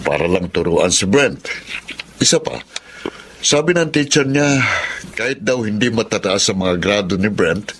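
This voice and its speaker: An appreciative Filipino father in a radio drama. His lowish voice is sincere and straightforward, with faint noise around it. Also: fairly bright tone. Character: appreciative, apologetic father of a schoolboy. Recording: faint noise, ambient sound behind.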